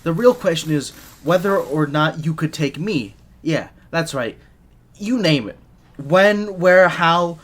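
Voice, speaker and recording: A man's voice: fairly high pitch, animated, takes it slowly, a bit bright, exaggerated voice. A man playing a shy, easily flustered gadget maker for a secret agent in an audio roleplay, speaking nervously and stammering. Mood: cocky, challenging. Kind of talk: scripted ASMR roleplay, one side of a dialogue.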